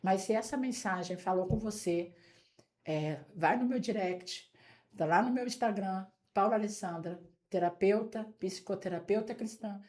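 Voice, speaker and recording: A woman's voice: medium pitch, normal speed, a little mellow. Earnest Brazilian woman in her forties. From São Paulo state, Brazil. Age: 40s. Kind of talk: talk show conversation and testimony.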